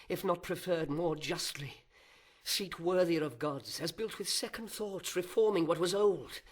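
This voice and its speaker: Fallen angel acted by a male reader for epic verse: raised pitch, impassioned, grandly eloquent, anguished, envious. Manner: impassioned, declamatory lament. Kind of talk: classical verse dramatic reading.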